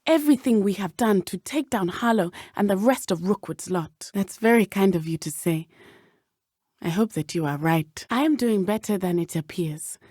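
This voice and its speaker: A woman's voice: medium pitch, animated, normal speed, dark tone, no echo, natural voice. Earnest teenage witch schoolgirl, played by a woman, dry close sound. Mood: sincere, earnest. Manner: formal, careful, uncontracted phrasing. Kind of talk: video game character dialogue.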